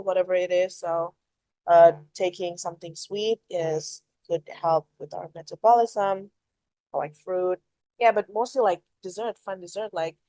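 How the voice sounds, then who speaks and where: A woman, talking as a guest on a casual podcast. She explains things with chatty lists. Her voice sits at a middle pitch and sounds dry and close, with little room sound. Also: darkish tone, no room sound, rough recording. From Indonesia.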